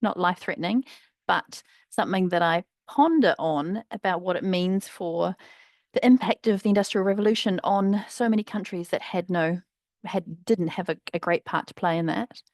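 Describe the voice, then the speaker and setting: A woman's voice: on the low side, moderate pace, darkish tone, dry recording, clean studio sound. A woman from New Zealand giving a friendly, fluent presentation on a webinar video call. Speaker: sustainability consultant presenter.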